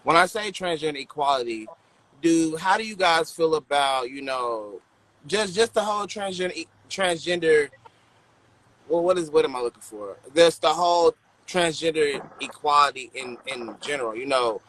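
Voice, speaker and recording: A man with a bright, sharp and slightly high voice chats on a podcast. He rambles, restarts and searches for words as he builds his question. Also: lively intonation, moderate pace, rough recording. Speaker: podcast co-host. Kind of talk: casual chat.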